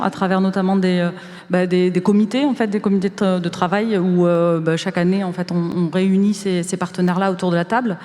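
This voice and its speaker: Woman on a panel, kinda hesitant, hunting for words, mid pitch, echoey hall. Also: darkish tone. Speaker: panelist from a cultural organisation. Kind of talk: spontaneous panel testimony. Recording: reverberant hall sound.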